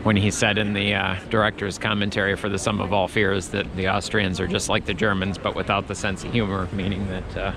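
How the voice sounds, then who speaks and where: A man's voice: deep voice, flat delivery, a little fast, few pauses, background noise. A man vlogging as he walks through an outdoor Christmas market, chatting in a rambling way with many restarts. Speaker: travel vlogger. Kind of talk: casual walking commentary.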